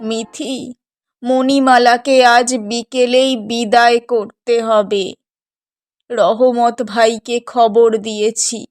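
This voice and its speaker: A hard-pressed village mother in a moral-story cartoon, played by a woman. The voice is high and slow, grave and emotional, over a music backing. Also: level tone. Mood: stern, resigned. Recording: music bed behind the voice.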